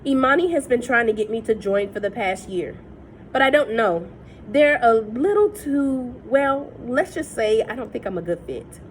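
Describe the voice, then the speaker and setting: A woman reading a novel aloud in a steady, measured first-person voice. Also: a little high, a little hiss or hum. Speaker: reader.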